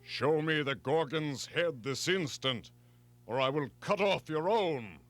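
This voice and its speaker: A man's voice: fairly high pitch, lively intonation, slow pace, dry recording, faint music behind. A man voicing an evil, imperious king with a menacing manner in a storybook reading. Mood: commanding, threatening. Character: evil, threatening old king Polydectes.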